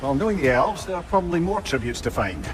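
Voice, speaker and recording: A man with a Scottish accent playing a wry, learned old talking head in a video game, telling tales in a measured way. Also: a little high, sing-song, heavy background noise. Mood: wry, friendly. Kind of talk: video game character acting. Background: music.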